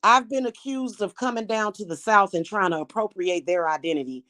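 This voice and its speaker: A woman's voice: mid-range voice, a little fast, bright, forceful tone, no echo, clean studio sound. A woman from Missouri with a Missouri accent, talking to her viewers in a blunt, scornful, mocking monologue. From Kansas City, Missouri. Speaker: spiritual practitioner and channel host.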